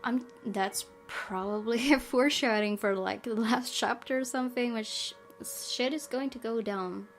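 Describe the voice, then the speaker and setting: A woman's voice: fairly high pitch, normal speed, few pauses. A woman hosting a gaming video, reading visual novel narration aloud and adding her own asides. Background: guitar game music.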